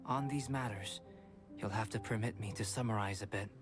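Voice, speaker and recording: An actor playing a calm, aloof young alchemist in a video game, measured, polite and detached. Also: male voice, fairly low pitch, lightly acted. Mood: composed. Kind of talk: video game character dialogue. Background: music.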